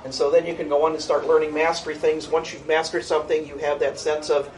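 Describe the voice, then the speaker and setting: A woman with a low-register voice giving an informal annual program report to a board, conversational, with frequent ums and restarts. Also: little pitch movement, fast talker, darkish tone. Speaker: youth program coordinator presenting an annual report. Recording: distant mic in a meeting room with faint noise.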